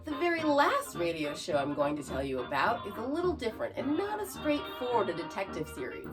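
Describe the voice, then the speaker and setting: A woman's voice: on the high side, wildly swooping pitch, fairly quick. A woman hosting a podcast, reading scripted narration with chatty asides. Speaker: podcast host. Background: music.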